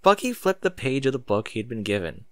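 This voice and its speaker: A man reading fan fiction aloud as narrator, in an expressive storybook style with a sardonic edge. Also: mid-range voice, fast talker, dry recording. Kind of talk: dramatic storybook narration with casual sarcastic asides.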